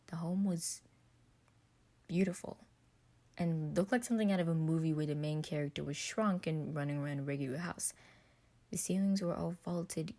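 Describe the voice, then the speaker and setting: A woman's voice: sits low, gravelly, dark tone. A woman reading a story aloud as a scripted narration. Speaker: podfic narrator.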